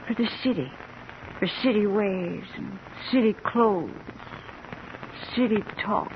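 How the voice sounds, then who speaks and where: An actress playing a frail, wistful old American backwoods mother in a vintage radio drama, plaintive and hushed. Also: medium pitch, slow pace. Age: older. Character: frail elderly backwoods mother, wistful, stubbornly sure of premonitions. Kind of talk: 1950s radio drama acting. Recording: muffled, hissy vintage radio recording.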